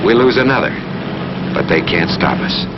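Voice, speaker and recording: Low-voiced wartime narrator, very noisy track. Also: male voice, animated, moderate pace, muffled recording. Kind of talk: scripted 1940s war documentary narration. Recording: noisy vintage film soundtrack, aircraft engine drone.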